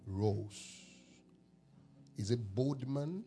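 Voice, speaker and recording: A Ghanaian-accented man preaching as a prophet in a church, his voice sitting at an ordinary middle pitch. He takes his time, stretching his declarations out unhurriedly before they rise into loud shouts. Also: expressive. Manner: slow drawn-out declarations that swell into loud shouts. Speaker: pastor, self-styled prophet. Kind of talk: prophetic preaching and praying over people. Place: church service.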